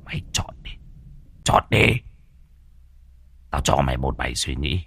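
A man voicing a cruel old sorcerer who speaks in a low, hushed, threatening way. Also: lively intonation, unhurried, vocal fry, breathy edge, no room sound, full character voice. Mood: menacing. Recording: faint music behind.

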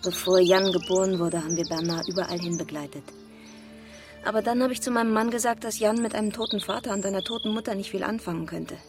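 A woman's voice: medium pitch, fast talker, music in the background, lightly acted. An actress playing an earnest, politically aware village mother in a radio crime drama, explaining things with a wry edge. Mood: resigned.

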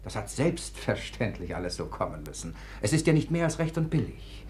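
An actor in a 1950s German radio play, playing a stiff, bitterly polite suitor with a formal, strained manner. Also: male voice, lowish voice, very animated, a little fast, darkish tone, a little hiss or hum.

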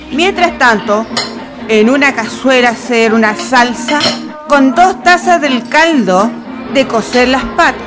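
A woman playing a restaurant owner in a radio drama, deliberately dictating a homely recipe. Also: sits high. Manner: deliberate, instructive.

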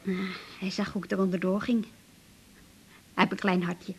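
A woman's voice: medium pitch, little pitch movement, moderate pace, darkish tone, breathy edge. An actress playing a chatty, lovestruck but resigned woman patient, confiding and hesitant, in a naturalistic radio drama.

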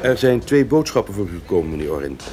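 Fast-talking, low-voiced hotel clerk. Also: male voice, sing-song, warm tone, a little hiss or hum. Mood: polite, efficient. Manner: deferential, businesslike. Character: polite, efficient male hotel desk clerk. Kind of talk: radio drama dialogue. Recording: busy lobby crowd sound effects.

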